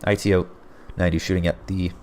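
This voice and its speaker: Man, game caster, hoarse and raspy, low voice, excitable play-by-play. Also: fast, a little hiss or hum. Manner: excitable, frequent surprised exclamations. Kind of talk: play-by-play commentary on a strategy game replay.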